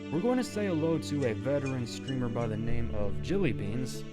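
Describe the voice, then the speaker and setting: A man hosting a livestream in casual chat, speaking in a halting, polite and earnest way. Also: medium pitch, expressive. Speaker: livestream host. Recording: music playing behind the voice. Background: plucked guitar music.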